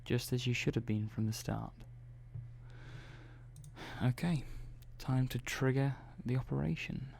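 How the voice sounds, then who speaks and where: A man playing a calm, methodical robot engineer in an ASMR roleplay, speaking softly and slowly with hesitant pauses. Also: mid-range voice, gravelly. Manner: soft-spoken, slow and hesitant, many pauses and "well"s.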